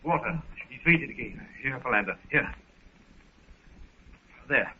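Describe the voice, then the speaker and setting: An actor playing an earnest, steady gentleman adventurer in a 1930s radio serial, with an urgent manner. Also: mid-range voice, a little slow. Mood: worried. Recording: noisy, narrow-band 1930s radio recording.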